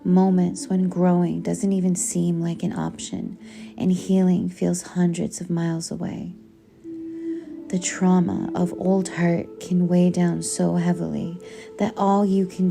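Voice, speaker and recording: A woman reads aloud in a soothing audiobook style. Her voice is on the low side and very unhurried, with gentle, deliberate phrasing. Music plays clearly behind her. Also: flat delivery. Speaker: book reader. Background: background music.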